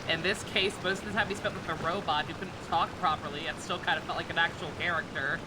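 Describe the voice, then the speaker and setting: A woman's voice: on the low side, fairly even intonation, fast talker, very noisy. A woman game streamer giving casual, irreverent live commentary, rambling and swearing freely.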